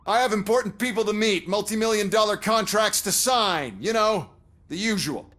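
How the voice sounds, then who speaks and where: An actor playing an arrogant, irritable corporate executive in a video game, sarcastic and condescending. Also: male voice, high voice, moderate pace, sharp tone. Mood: smug, mocking. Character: smug, sneering corporate executive, adult male, arrogant and irritable.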